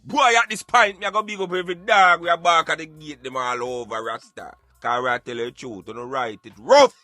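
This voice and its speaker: A man's voice: a little high, fairly even intonation, a little fast, sharp tone, dry recording. A Jamaican man arguing emphatically in Patois, in an impassioned debate. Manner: emphatic, argumentative, speaking in Patois. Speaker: radio show co-host.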